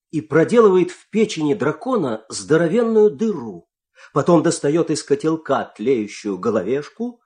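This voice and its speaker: Animated, theatrical male fairy-tale narrator. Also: on the high side. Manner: theatrical, suspenseful storytelling.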